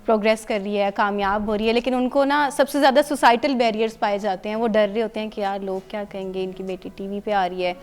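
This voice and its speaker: Smooth-voiced woman, native Saraiki speaker. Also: medium pitch, fairly even intonation, quick pace, few pauses. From Pakistan. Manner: earnest, explanatory, hesitant searching for words. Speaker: TV news anchor guest. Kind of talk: studio talk show conversation. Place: TV studio.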